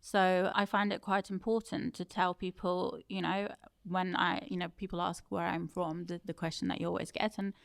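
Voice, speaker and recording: A woman's voice: fairly low pitch, flat delivery, moderate pace, vocal fry, no echo, clean studio sound. A woman in her thirties, born in Turkey and raised in the UK, speaking thoughtfully as an interview guest. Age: 30s. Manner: thoughtful, with frequent self-corrections. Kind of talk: reflective interview conversation.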